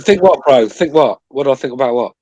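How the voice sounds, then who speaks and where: A man's voice: mid-range voice, animated, quick pace, darkish tone, dry recording, muffled recording. A man from Britain with a British accent, talking emphatically and repeating words in an argumentative sports chat. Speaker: podcast panelist.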